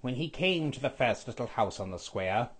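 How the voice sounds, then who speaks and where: A man voicing a scheming skeletal villain lord who reads a storybook aloud with a raspy, sniffly voice, stretching out repeated words. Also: mid-range voice, expressive. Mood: gloating. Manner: sing-song storybook reading, drawn-out repeated words.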